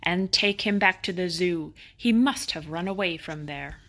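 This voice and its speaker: A woman's voice: lowish voice, unhurried, fairly bright tone. A woman voicing an authoritative, emphatic male professor who runs a museum, in a children's storybook. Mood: angry. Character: stern but grateful male museum director, a professor.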